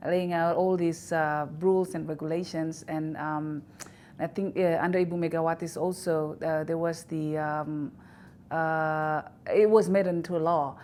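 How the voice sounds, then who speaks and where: A woman from Indonesia with an Indonesian accent, answering interview questions in a halting way with many fillers and restarts. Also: low-pitched, fairly even intonation, a little mellow. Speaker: interview guest, institute director. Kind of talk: recorded news interview.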